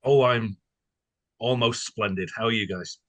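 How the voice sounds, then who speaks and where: A man's voice: on the low side, measured pace, a bit bright, no room sound. A man hosting a conversational podcast interview, speaking in a wordy, playfully formal and polite way.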